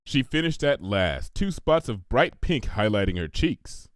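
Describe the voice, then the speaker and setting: A man reading scripted comic fiction aloud as an expressive storyteller. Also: mid-range voice, unhurried, dry recording, studio quality. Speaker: fan fiction reader.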